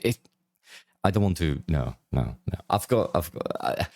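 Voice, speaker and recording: A man hosts a relaxed podcast chat, his voice sitting very low. He talks eagerly, often repeating words and starting sentences over. The sound is dry and close. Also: normal speed, vocal fry, dry recording. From Czech Republic. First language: Czech. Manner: enthusiastic, frequent word repeats and restarts. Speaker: podcast host. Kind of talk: casual interview chat.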